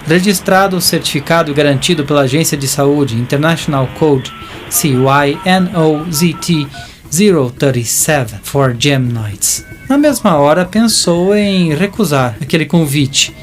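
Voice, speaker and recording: Male Brazilian narrator, theatrical audiobook drama delivery, slightly high voice, music bed. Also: expressive, unhurried.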